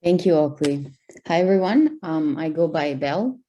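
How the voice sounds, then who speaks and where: A young woman from Albania speaks on a video call, presenting research as a doctoral candidate. Her voice sits very low and sounds soft and mellow. She pauses often and fills the gaps with ums and restarts. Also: lively intonation, rough recording. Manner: hesitant, many fillers and restarts. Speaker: PhD candidate panelist.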